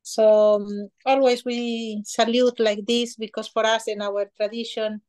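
Middle-aged woman, flat even voice, slow reverent speech, webcam audio. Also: fairly high pitch. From Peru. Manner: slow, soft-spoken, reverent gratitude lists. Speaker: shamanic healer guest. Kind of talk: spiritual talk on a video call. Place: small decorated room indoors. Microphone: video call webcam.

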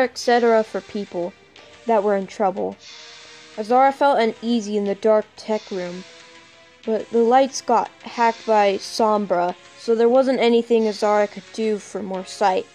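A woman's voice: on the high side, dark tone, low-quality recording. A woman reading scripted fan fiction as storyteller, occasionally stumbling over the written words.

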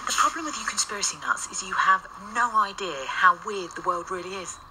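An actress playing a brusque, sardonic paranormal investigator in a radio drama, dry and cutting in manner. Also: female voice, mid-range voice, fairly quick, background noise, natural voice. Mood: brusque, assured. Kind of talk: naturalistic radio drama acting.

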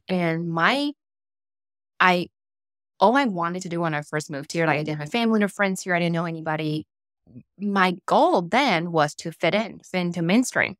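Young woman, thoughtful, slightly low voice, dry webcam sound. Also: fairly bright tone, no room sound. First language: Mandarin. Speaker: podcast co-host. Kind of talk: casual chat. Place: small plain room indoors. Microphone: laptop webcam on a video call.

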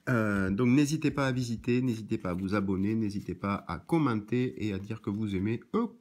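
A slightly hoarse man, off camera, rattling off card names while opening trading card packs, with chatty asides to viewers. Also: fairly low pitch, a little fast, a little mellow. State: slightly hoarse, clearing throat. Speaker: card shop owner and YouTuber. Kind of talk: casual card-opening vlog. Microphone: camera framing hands and cards, speaker unseen.

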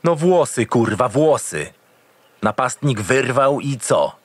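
An actor playing a gruff, cynical and experienced homicide detective in an audio drama, curt and impatient. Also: male voice, medium pitch, very animated, takes it slowly, no room sound, heavily acted. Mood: irritated, demanding. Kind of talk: audio drama acting with noir narration.

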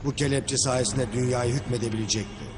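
A man's voice: mid-range voice, fairly even intonation, fairly quick, natural voice. A man playing a solemn, dramatic narrator telling an ancient legend in a cartoon. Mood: solemn, ominous. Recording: very loud music behind.

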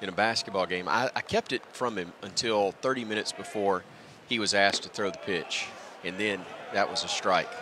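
Very deep, bright-voiced middle-aged man. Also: steady tone, normal speed, few pauses, a little hiss or hum. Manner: self-deprecating, joking, reflective. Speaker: guest, radio play-by-play announcer. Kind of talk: conversational broadcast booth interview. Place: stadium press booth at a baseball game. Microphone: broadcast headset. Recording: outdoor ballpark ambience behind voice.

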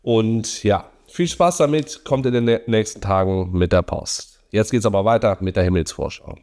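Middle-aged male host, hoarse and nasal from a cold, lively at a desk, lowish dark tone. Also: normal speed, dry recording, studio quality. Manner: lively, animated presenting. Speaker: astrophotography channel host. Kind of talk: casual presenting, sky preview and prize draw. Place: home study indoors.

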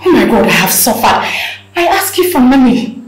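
An actress playing a demanding young girlfriend, shouting and mocking. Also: on the high side, sing-song, normal speed. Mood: furious. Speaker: actor. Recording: echoey room with loud music behind.

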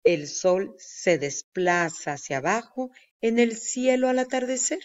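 Woman doing a read-aloud for little kids, unhurried and deliberate, bit lo-fi. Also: mid-range voice, expressive. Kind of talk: children's nonfiction book read-aloud. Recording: dull, lo-fi sound.

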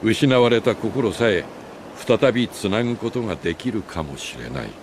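An older man acting a solemn, remorseful old sage in a Japanese video game cutscene, speaking gravely and with deliberate pauses. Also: fairly low pitch, sing-song, noisy background. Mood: solemn, earnest. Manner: grave, measured, philosophical delivery with deliberate pauses.

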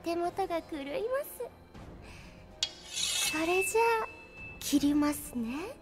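An actress playing a polite, obsessive teenage little-sister type in an anime drama CD, gentle and chillingly calm in manner. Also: female voice, very high voice, takes it slowly, loud music behind the voice, full character voice. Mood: sweetly menacing.

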